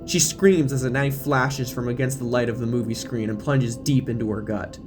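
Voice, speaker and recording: A man's voice: medium pitch, fairly even intonation, normal speed. A man reading scripted, dramatic narration of a horror story as the narrator, with music playing behind his voice.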